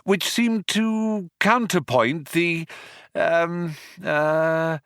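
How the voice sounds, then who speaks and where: A man's voice: on the high side, drawn-out words, fairly bright tone, clean tone, no room sound, studio quality, voice pushed up, full character voice. A man voicing a flustered young Earthman who flounders and hesitates as he bluffs his way through. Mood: bright, floundering.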